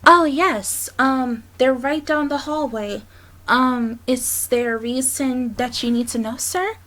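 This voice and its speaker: A woman playing a calm, polite hospital staffer in an audio roleplay, speaking in a measured, hesitant way. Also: high-pitched. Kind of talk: dramatic ASMR roleplay acting.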